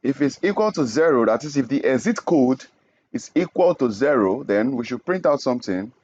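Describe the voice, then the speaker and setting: A man's voice: mid-range voice, animated, moderate pace, a little mellow, husky, dull audio. A young man from Nigeria teaching programming in a screen-recorded tutorial, explaining each step and checking in with "okay?". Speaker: programming instructor.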